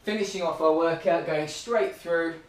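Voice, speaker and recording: A high-voiced man in his thirties gives upbeat, encouraging workout cues while exercising, a little out of breath. He is recorded indoors by a camera across the room, so his voice sounds distant. The living room adds an echoey ring. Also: normal speed. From Australia. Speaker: running club fitness coach. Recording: roomy, distant camera audio.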